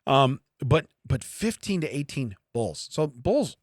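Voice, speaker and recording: A man's voice: mid-range voice, very animated, slow pace, dry recording, clean studio sound. A middle-aged man co-hosting a comedy podcast, rambling through odd facts in casual chat and repeating phrases for comic emphasis.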